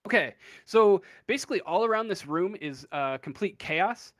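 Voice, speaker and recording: A man acting as game master, improvising narration for a tabletop role-playing game in a halting way, thinking aloud. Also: sits high, lively intonation, a bit bright, no echo, studio quality.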